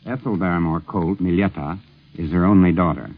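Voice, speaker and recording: A middle-aged man narrating a radio documentary as its host, in a measured scripted style, on an old 1950s broadcast recording. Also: deep voice, phone-quality sound.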